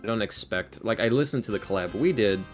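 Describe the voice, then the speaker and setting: Male podcast guest, fairly low voice, chatty anecdotal delivery with acted-out quotes, light guitar music behind. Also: expressive, quick pace. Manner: chatty, informal, acts out quotes. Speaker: podcast guest, voice actor and ASMR creator. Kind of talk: casual chat, storytelling.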